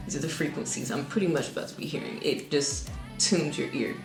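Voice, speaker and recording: A young woman, a singer-songwriter, casually explains her own song with many ums and restarts and now and then sings a note; her voice is low. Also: a little fast, roomy sound, music in the background. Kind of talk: casual music breakdown.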